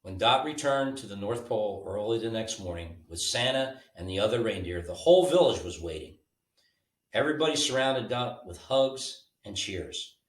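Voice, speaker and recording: A man with a lowish voice reads a children's bedtime story, his pitch moving in lively swings. He is informal and animated. The recording is roomy, with the mic at a distance. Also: normal speed. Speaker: storybook reader.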